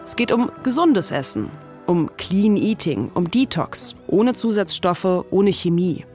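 A woman's voice: on the low side, sing-song, measured pace, darkish tone, soft background music. A woman in her thirties narrating a scripted documentary podcast as its host. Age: 30s.

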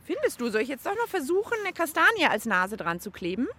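Woman, kids' show co-host, fairly high voice, lively intonation, friendly explaining tone. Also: quick pace, a little hiss or hum. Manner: friendly, explanatory, addresses kids directly. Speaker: children's science show host. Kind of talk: casual presenting for children.

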